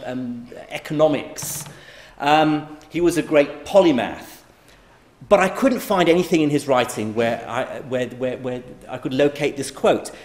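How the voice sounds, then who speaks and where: A man's voice: on the high side, a little mellow. A middle-aged man from Iraq giving a conversational public lecture, with frequent fillers and restarts. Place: lecture hall. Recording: echoey lecture hall.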